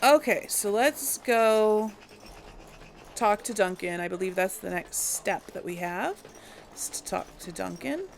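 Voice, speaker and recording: Gravelly-voiced woman musing over a game. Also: mid-range voice, slight background noise. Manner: chatty, musing aloud with many hums. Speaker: role-play gamer. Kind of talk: casual game commentary and role-play.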